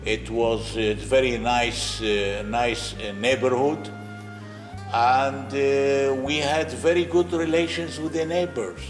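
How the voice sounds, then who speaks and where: An older man from Iraq fondly reminiscing in a documentary interview, speaking slowly. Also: medium pitch, steady tone. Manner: slow, fond reminiscing. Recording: reverberant hall with music.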